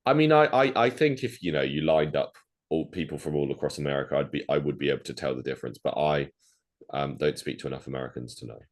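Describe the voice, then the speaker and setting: A man's voice: extremely low pitch, lively intonation, fast talker. A man co-hosting a film podcast, chatting casually and rambling with lots of restarts and fillers. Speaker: podcast co-host. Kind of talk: casual chat.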